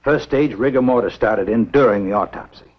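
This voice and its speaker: A man acting a grave, stubborn small-town American doctor in a 1950s B-movie, with a husky, deliberate, emphatic delivery. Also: mid-range voice, close to an everyday voice. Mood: grave, insistent. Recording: dull, muffled 1950s film soundtrack.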